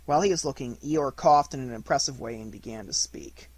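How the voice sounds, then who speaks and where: A man reading a children's audiobook in an expressive narration style. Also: mid-range voice, fairly quick, no room sound. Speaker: audiobook reader.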